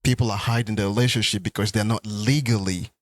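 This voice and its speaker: A man co-hosting a couples podcast, telling stories in a relaxed, unhurried way and leaving sentences for his partner to finish. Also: fairly low pitch, dry recording, studio quality. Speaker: podcast co-host. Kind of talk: casual conversation.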